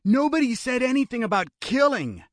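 A man's voice: high-pitched, measured pace, no room sound, studio quality, voice pushed up. A man voicing an anxious criminal, urgent and pleading, in an audiobook thriller. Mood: urgent, alarmed.

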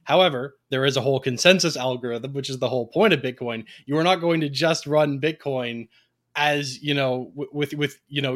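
A man giving conversational explanations as a guest on a talk show. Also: medium pitch. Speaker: interview guest, technology commentator.

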